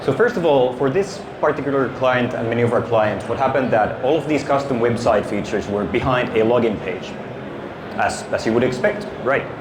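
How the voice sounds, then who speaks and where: A man's voice: medium pitch, moderate pace. A middle-aged man from Finland gives a casual conference talk on a handheld mic, full of fillers and restarts. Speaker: conference speaker, security consultant. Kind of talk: conference presentation. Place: conference stage in an exhibition hall. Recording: echoey hall with ambient noise.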